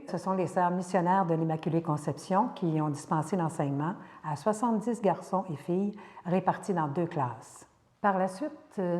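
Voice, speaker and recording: Older woman, Quebec French accent, local historian, measured narration, mellow low voice, long reverb. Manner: measured and careful, occasional hesitations.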